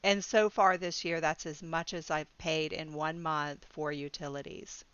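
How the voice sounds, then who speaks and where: A middle-aged woman in a chatty, rambling vlog, her voice a little low and smooth. She laughs easily and keeps breaking off with ums and restarts. The sound is dry and close, with a slightly dull, lo-fi quality. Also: steady tone, measured pace, fairly bright tone, dry recording. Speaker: budgeting vlogger. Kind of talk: casual talk to camera.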